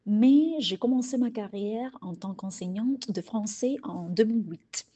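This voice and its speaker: Cuban woman teaching french, spanish native, slow earnest talk, mellow voice. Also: mid-range voice. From Cuba. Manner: calm, deliberate and earnest. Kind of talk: prepared personal message.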